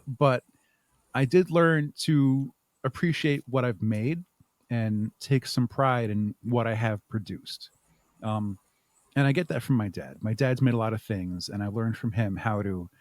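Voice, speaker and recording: A man's voice: fairly low pitch, moderate pace, a little mellow, no echo. A man giving casual, self-deprecating, rambling and thoughtful answers as a podcast guest. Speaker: podcast guest, audio drama creator. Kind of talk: casual interview conversation.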